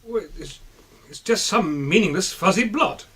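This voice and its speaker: An actor playing a pompous, patronising gentleman investigator with a British accent, dryly superior in a radio drama. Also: high-pitched, animated, measured pace, slightly rough, full character voice. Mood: dismissive.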